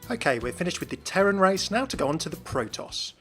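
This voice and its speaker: A man's voice: sits high, very animated, fast, a bit bright. A man giving explanatory narration about video game lore. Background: background music.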